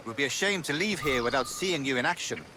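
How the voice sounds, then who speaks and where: An actor playing a genteel, cordial English sea captain in a video game, with an English accent and a polished, hearty manner. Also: male voice, mid-range voice, animated, fast, background noise, natural voice. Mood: cordial, encouraging. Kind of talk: video game cutscene acting.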